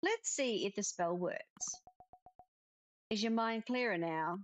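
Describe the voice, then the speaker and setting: A woman's voice: medium pitch, lively intonation, takes it slowly, faint music behind. A woman reading the part of a friendly young witch, unsure and apologetic, in a cozy visual novel. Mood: hopeful.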